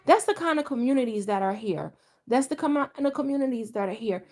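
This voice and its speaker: Middle-aged lady chatting on a livestream, kinda higher voice, rambles and restarts a lot. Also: expressive, brisk, a little mellow. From Delaware, US. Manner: rambling, conversational, frequent restarts. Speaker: vlogger. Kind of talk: casual live chat.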